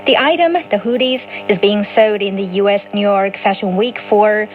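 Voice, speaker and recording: A woman's voice: mid-range voice, normal speed. A woman presenting a radio talk show as its host, heard over a shortwave broadcast. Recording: noisy muffled shortwave radio broadcast with hum.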